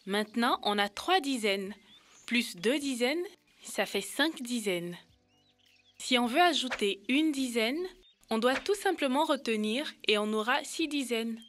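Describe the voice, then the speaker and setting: High-voiced eager cartoon girl. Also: expressive, a little slow, a bit bright, soft background music. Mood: eager, explaining. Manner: explains step by step. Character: Kibena, eager young girl learning to count. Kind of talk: French-dubbed educational children's cartoon.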